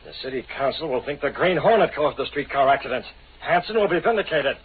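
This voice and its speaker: An actor playing a commanding, menacing masked vigilante with clipped delivery in a 1930s radio drama. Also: male voice, a little high, lively intonation, fast talker, breathy edge. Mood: menacing, confident. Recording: noisy, narrow-band old radio recording.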